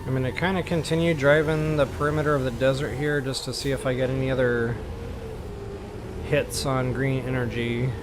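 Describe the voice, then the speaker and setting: A man's voice: medium pitch, level tone, normal speed. Casual man commentating gameplay over game noise. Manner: informal, talks to himself while playing. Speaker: gameplay walkthrough host.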